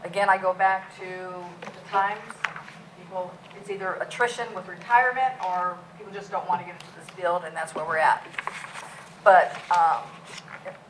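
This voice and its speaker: A middle-aged woman, a police chief, gives a budget presentation in a council meeting room, speaking loudly and plainly with many fillers. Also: on the low side, steady tone, normal speed, a little hiss or hum, poor audio.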